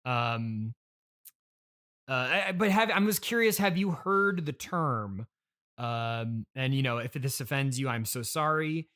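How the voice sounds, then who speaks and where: Halting man hosting a comedy podcast. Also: mid-range voice, lively intonation, fairly bright tone. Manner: halting, awkwardly polite, stammering. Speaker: podcast host and interviewer. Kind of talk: improvised comedy interview.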